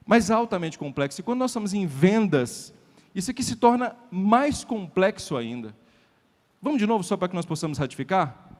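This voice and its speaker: A man with a Brazilian accent giving a motivational lecture at a sales event, emphatic, repeating key lines and putting rhetorical questions to the audience. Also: high-pitched. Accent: Brazilian Portuguese. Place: event hall before a live audience. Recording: reverberant hall sound.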